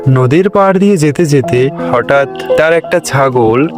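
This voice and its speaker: A man's voice: mid-range voice, animated, unhurried. A man narrating a scripted folk tale for a cartoon as its storyteller. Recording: loud background music.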